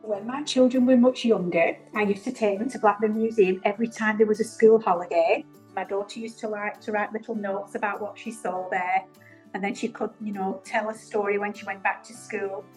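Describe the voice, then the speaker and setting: A woman in her fifties with a mid-pitched voice. She speaks cheerfully and clearly over a webcam. Light music runs behind. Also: fairly even intonation, fairly quick, a little mellow, rough recording. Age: 50s. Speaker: interviewee. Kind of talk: casual reminiscing.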